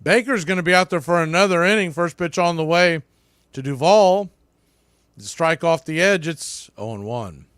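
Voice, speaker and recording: A man's voice: fairly high pitch, expressive, normal speed, a bit bright, smooth, no room sound, studio quality. A man giving live radio play-by-play commentary of a baseball game as the announcer.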